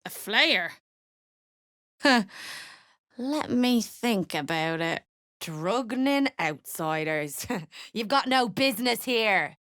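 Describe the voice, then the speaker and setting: Duergar cult overseer for a game, slow n scornful, curt, dry recording. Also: female voice, medium pitch, lively intonation, a bit bright, dry recording. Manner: curt, disdainful. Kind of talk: fantasy game character acting.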